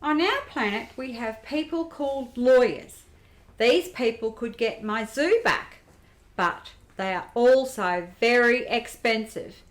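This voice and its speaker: A streetwise young female grifter played by a woman with a highish voice in a roomy, echoey recording. She speaks candidly and plainly, like a resilient survivor in a radio play. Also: slow. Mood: candid storytelling.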